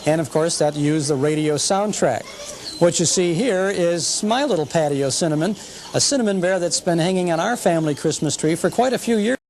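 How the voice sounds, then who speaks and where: A man's voice: a little high, normal speed, a little mellow, a little hiss or hum. A man hosting a nostalgia TV show in an informal, folksy, chatty way with hesitant phrasing. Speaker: host.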